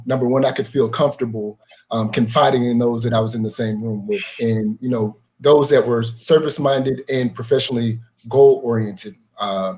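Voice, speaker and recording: A man who co-founded a nonprofit, speaking earnestly and at a measured pace with frequent fillers on a live video panel. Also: medium pitch, darkish tone. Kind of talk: casual panel talk.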